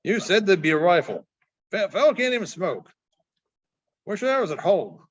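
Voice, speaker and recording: A man acts out a brash young boy from a storybook, sulky and blunt with slangy, clipped talk. The voice is pitched high and jumps around freely. The sound is a little dull. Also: big pitch swings, moderate pace.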